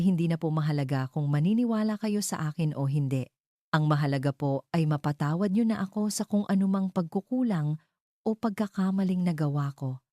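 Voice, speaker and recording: A woman plays a heartbroken young housemaid in an audio love story. She is deferential and apologetic, with a low, mellow voice and dry sound. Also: no echo, natural voice. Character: humble heartbroken young housemaid reading her farewell letter.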